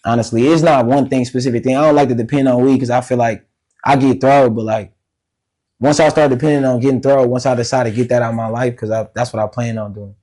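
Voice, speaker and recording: Laid-back raspy man. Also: mid-range voice, steady tone, fast, dark tone. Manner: laid-back, slangy, laughs often. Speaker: musician guest. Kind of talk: casual podcast interview.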